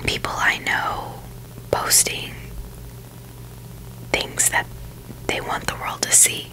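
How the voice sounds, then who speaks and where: A woman's voice: slow, bright, forceful tone, low-quality recording. A woman whispering breathily in a rambling, informal ASMR chat, hesitant and full of "like" and "you know". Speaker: ASMR video creator.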